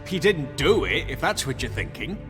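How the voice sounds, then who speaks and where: Casting: defiant game wisecracker, highish voice, bouncy inflection, sarcastic, reverberant with music behind. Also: male voice, sing-song, moderate pace. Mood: defensive. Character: cheeky, sarcastic, defiant male suspect under questioning. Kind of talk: video game dramatic acting.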